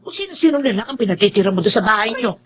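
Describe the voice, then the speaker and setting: An actress playing an outspoken, nosy older Filipino aunt in a radio drama, exclaiming and reproaching. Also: female voice, mid-range voice, very animated, rapid-fire, slightly rough, highs cut off. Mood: shocked, disapproving.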